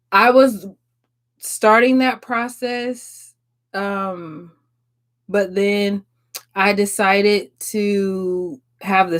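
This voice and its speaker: A woman's voice: medium pitch, fairly bright tone. A woman, chatting frankly and casually on a live stream, swearing and laughing often. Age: 30s. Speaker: livestream host answering viewers.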